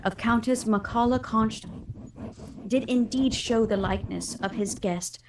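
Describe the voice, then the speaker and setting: Woman playing a solemn young gentlewoman, faint background noise. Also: on the high side, a little slow, close to an everyday voice. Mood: somber recounting. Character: solemn young gentlewoman recounting her household's ordeal. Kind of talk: radio drama narration.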